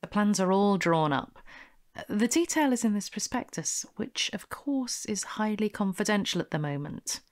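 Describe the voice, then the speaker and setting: A woman playing a smooth, bossy con artist with a brisk, persuasive manner in an audio drama. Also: medium pitch, expressive, natural voice. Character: smooth, bossy female con artist posing as investment promoter.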